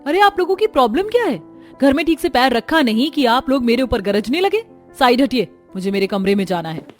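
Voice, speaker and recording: A woman's voice: sits high, lively intonation, a little fast. A woman voicing a defiant young working daughter-in-law in a cartoon family story, curt and insistent. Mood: irritated, defiant. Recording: music behind the voice.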